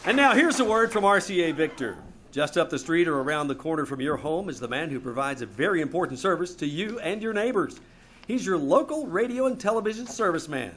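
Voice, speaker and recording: A man delivers old-time radio announcements in a scripted, polished salesman style. His voice sits a bit high, rising and falling in lively, emphatic sweeps. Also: fairly quick, a little hiss or hum. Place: small community theater stage.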